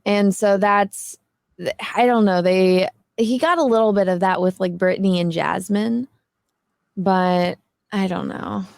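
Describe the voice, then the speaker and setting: A woman's voice: mid-range voice, steady tone, unhurried, gravelly, darkish tone, no echo, clean studio sound. A woman in her twenties, a guest on a casual panel chat, telling a story and quoting others as she goes, often laughing. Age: 20s.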